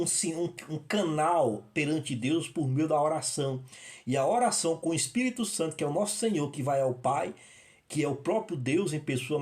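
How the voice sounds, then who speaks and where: A man from northeastern Brazil preaches on prayer in an informal, home-recorded sermon. His voice sits somewhat high for a man. He rambles, circling back and repeating himself, often restarting his sentences. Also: a little mellow. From Northeast Brazil (Rio Grande do Norte). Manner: rambling, with many restarts and repetitions. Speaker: evangelist. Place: his apartment.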